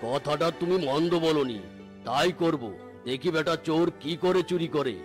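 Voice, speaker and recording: A man voices a cartoon tiger farmer, a devoted and stubborn husband in an animal fairy tale. His voice sits a little high, with faint music behind it. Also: moderate pace, close to an everyday voice. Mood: wary, considering. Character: tiger farmer husband, wary yet dismissive, fond of his wife.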